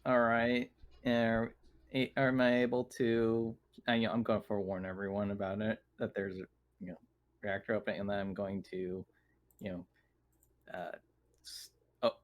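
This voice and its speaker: A man chatting casually as a player in a tabletop game, halting and stammering as he thinks aloud. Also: lowish voice, little pitch movement.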